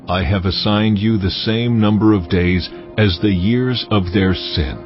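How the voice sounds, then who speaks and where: An actor voicing a stern, commanding God, speaking deliberately and with authority in a dramatized scripture reading. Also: male voice, low-pitched, dull audio. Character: God, solemn commanding deity instructing a prophet.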